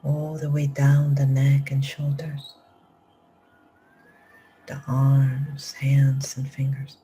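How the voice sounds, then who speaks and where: Slow, low-voiced woman guiding meditation. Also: completely flat delivery, slight background noise. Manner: slow soft-spoken instructions, drifting into whispers. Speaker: meditation teacher. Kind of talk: guided meditation. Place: live group session.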